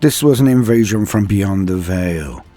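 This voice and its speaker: A man narrating a scripted story in a theatrical, measured manner with weighty pauses. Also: on the low side, expressive, dark tone. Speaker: storyteller and channel host. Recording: music behind the voice. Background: background music.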